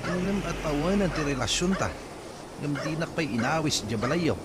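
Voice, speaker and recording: A man's voice: on the high side, lively intonation, normal speed, lightly acted. A man acting a jealous, accusing young sweetheart in an Ilocano radio comedy drama, with an Ilocano accent. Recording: very noisy outdoor ambience, animal sounds.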